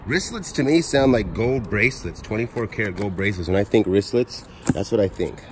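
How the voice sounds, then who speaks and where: Excitable man unboxing outdoors. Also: fairly low pitch, brisk. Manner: excited, chatty, talks to viewers. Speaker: storage auction reseller. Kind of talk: casual unboxing vlog. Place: outdoors by storage units and truck. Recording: outdoor noise behind voice.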